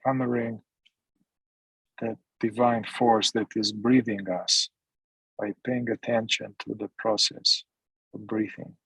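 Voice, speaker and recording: A man leading a guided meditation as a teacher, speaking gently in short, sparse phrases with long pauses. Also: fairly low pitch, little pitch movement, creaky, breathy edge, no room sound, low-quality recording. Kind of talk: guided meditation, mindfulness instruction.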